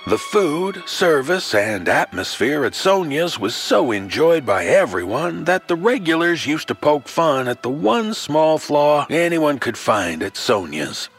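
Animated male storyteller over a musical score. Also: fairly high pitch, a little slow, few pauses, soft background music. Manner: folksy, wry, addressing the listener directly. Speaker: horror anthology storyteller. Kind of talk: theatrical scripted horror storytelling narration.

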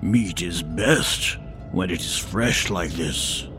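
Monstrous stallion, low voice, very slow, calm menace, taunting, horror audio drama, faint music. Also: male voice. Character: sinister talking man-eating stallion, calm and menacing.

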